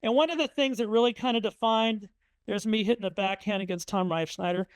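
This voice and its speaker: A man's voice: high voice, fairly quick, clear, resonant tone, no echo. A middle-aged man from Indiana giving a conversational talk with slides, telling family anecdotes with frequent ums and uhs. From Indiana, USA. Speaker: author speaking at a museum talk. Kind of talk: storytelling presentation with slides.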